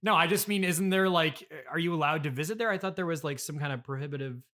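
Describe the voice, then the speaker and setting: Hesitant male interviewer with a bright, higher voice. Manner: hesitant, halting, apologetic, bemused. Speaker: podcast interviewer. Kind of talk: improvised comedic interview.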